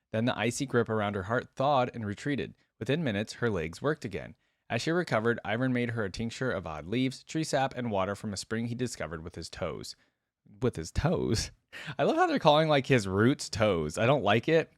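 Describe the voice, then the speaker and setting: A man's voice: lowish voice, no echo, studio quality. A man hosting a reaction video, reading a story aloud with casual commentary.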